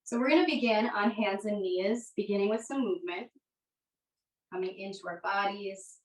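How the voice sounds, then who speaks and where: A woman teaching a yoga class, giving gentle, unhurried guided instructions. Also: mid-range voice. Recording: echoey room, distant microphone.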